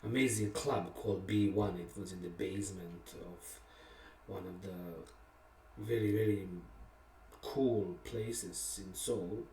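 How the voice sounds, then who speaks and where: A man of middle years, a songwriter, recounts the story behind a song in a low, easygoing voice. He talks candidly and reflectively, pausing often and chuckling at himself. The mic sits some way off, leaving a roomy echo around him. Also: fairly even intonation, warm tone, slight background noise. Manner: reflective and candid, with many pauses and fillers, laughing at himself. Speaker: songwriter and musician. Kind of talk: casual personal storytelling about a song. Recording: roomy sound from a distant mic.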